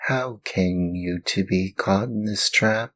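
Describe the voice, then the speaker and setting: A man voicing a small female glowworm in a storybook reading, speaking gently and moralizing. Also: deep voice, takes it slowly, voice pushed up, full character voice. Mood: gently scolding.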